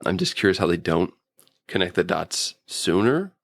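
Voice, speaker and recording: A man's voice: deep voice, big pitch swings, moderate pace, vocal fry. A man co-hosting a casual, joking review chat, riffing with playful exaggeration. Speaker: podcast co-host reviewing TV episodes.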